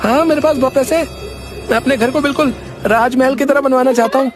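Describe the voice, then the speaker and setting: A man's voice: extremely high pitch, fairly even intonation, quick pace, over music. A man voicing a vain, brash young beggar turned rich in a comedy cartoon, bossy and boastful, with a repeated verbal tic. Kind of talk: Hindi comedy cartoon story acting.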